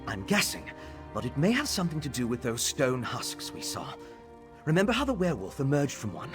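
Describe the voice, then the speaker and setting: An earnest, scholarly alchemist explains things carefully in a fantasy game. His medium-pitched male voice is thoughtful and focused, with music clearly playing behind it. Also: huge pitch swings, brisk. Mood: thoughtful, intrigued. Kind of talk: fantasy video game dialogue.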